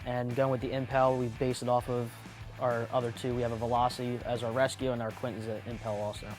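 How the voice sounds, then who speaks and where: Fast-talking, matter-of-fact male fire chief. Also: mid-range voice, little pitch movement, a little mellow, soft background music. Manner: matter-of-fact, practical, plain-spoken. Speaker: volunteer fire battalion chief. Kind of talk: explanatory interview answers.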